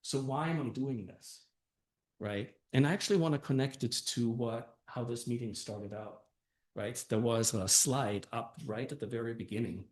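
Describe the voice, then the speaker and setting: A man's voice: mid-range voice, normal speed, a little mellow. Older male candidate from Austria and Germany, earnest, loose and conversational, in a reverberant room. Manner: earnest, conversational, many fillers and "right?". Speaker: council candidate. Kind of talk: campaign speech at a meeting. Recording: echoey room.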